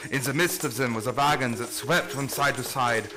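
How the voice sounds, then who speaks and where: An actor playing an urgent, commanding vampire hunter in a radio play, solemn and dramatic, with stilted phrasing. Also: male voice, medium pitch, moderate pace, lightly acted. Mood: solemn, resolute.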